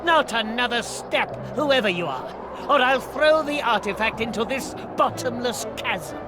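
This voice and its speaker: Treacherous Roman senator, very high voice, slow theatrical gloating, cartoon villain. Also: male voice, expressive, over music, crowd noise. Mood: gloating, defiant. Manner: theatrical, gloating, prone to shouting. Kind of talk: cartoon game voice acting.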